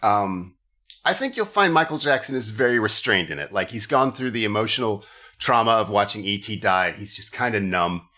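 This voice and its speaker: A man's voice: fairly low pitch, lively intonation, a little fast, bright, forceful tone. A man hosting a comedy podcast, telling stories casually and irreverently, with swearing and mock quotes. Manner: irreverent, profane, mock-quoting. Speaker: podcast host. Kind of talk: casual comedic storytelling chat.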